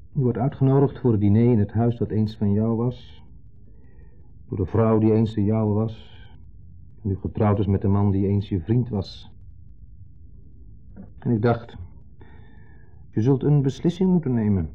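An actor playing a wistful, brooding, middle-aged ex-husband in a radio play, speaking in wordy, hesitant, formally polite sentences with a pointed undertone. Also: male voice, on the low side, normal speed, long pauses, close to an everyday voice. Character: wistful, brooding ex-husband, a long-winded dinner guest. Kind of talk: 1970s Dutch radio play acting. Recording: muffled, phone-like 1970s radio recording.

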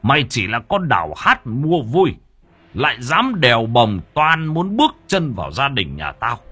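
A man's voice: medium pitch, wildly swooping pitch, unhurried, pushed and bright, slightly rough, voice pushed up, full character voice. A man voicing a furious, domineering middle-aged father in an audio story, cursing and repeating himself in rage. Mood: enraged, scornful. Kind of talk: acted dialogue in audio storytelling.

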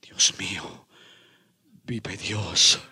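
An actor playing a troubled, irritable Spanish colonial priest in a dramatic radio play, prone to sighs and exclamations. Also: male voice, low-pitched, fairly even intonation, very slow, vocal fry, very noisy, exaggerated voice. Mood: anguished.

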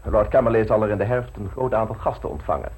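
An actor playing a suave, ambitious gentleman politician in an old radio play, polished, self-assured and teasingly frank. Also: lowish voice, fast talker, breathy edge. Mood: smooth, mysterious.

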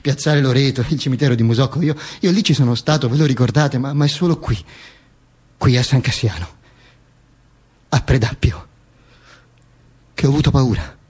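A man telling a theatrical monologue as an actor, halting, repeating words and stressing them emphatically. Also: medium pitch, darkish tone, husky.

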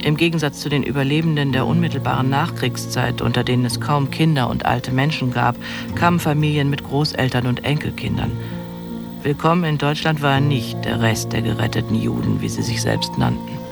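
A reflective commentator with a gravelly edge to his mid-range voice. He speaks pointedly, with music underneath. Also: medium pitch, expressive, brisk. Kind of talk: documentary radio play commentary.

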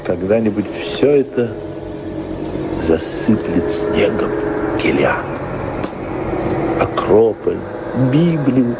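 An actor playing a brooding, world-weary lord of a castle in a radio play, speaking pensively with heavy pauses. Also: male voice, on the low side, heavy background noise, exaggerated voice. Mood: gloomy resignation. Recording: loud music under the voice.

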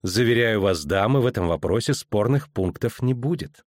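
Man reading as a cool elf sorceress, measured and a little ironic, dry sound. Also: on the low side, expressive, no echo, lightly acted. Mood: assured ironic. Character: poised ageless female elf sorceress, dry and assured.

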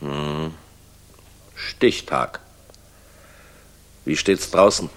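A man's voice: extremely low pitch, extremely slow, fairly bright tone, exaggerated voice. A man acting a weary, hard-driven general in a 1960s German radio drama, terse, urgent and wry.

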